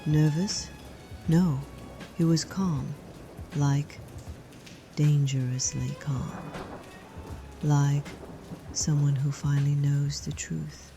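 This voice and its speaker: An actress playing a glamorous, guarded woman in her late thirties with a street edge, speaking in a hushed, measured, secretive way in a noir drama. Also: sits low, fairly even intonation, music in the background. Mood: guarded, wistful. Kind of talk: noir audio drama acting.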